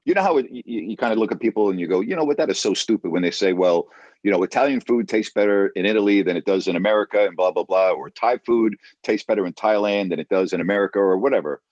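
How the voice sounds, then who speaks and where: Emphatic low-voiced man, dry sound. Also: fast, darkish tone, no echo, lo-fi sound. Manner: emphatic, repeats himself for stress. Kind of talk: casual chat.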